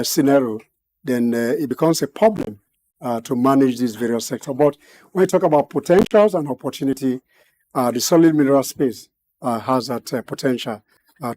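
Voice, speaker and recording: A man giving measured analysis as a guest in a studio interview, pausing often with "uh". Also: mid-range voice, a little mellow, low-quality recording. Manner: measured, many "uh" pauses, formal phrasing.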